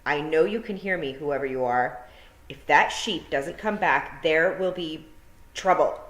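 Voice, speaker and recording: A woman voicing a stubborn young farm girl who speaks out loud and indignant. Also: low voice, a little slow, roomy sound, low-quality recording.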